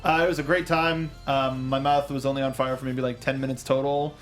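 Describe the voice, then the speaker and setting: A man's voice: mid-range voice, little pitch movement, fairly quick, soft background music. A man hosting a film discussion show in a casual, chatty, rambling way with joking asides.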